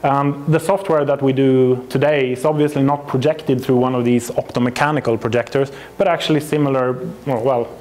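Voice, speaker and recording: A man's voice: mid-range voice, darkish tone. A man in his thirties with a Swedish accent, a native Swedish speaker, giving a chatty, self-deprecating conference presentation on a lapel mic, with frequent ums and restarts. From Sweden.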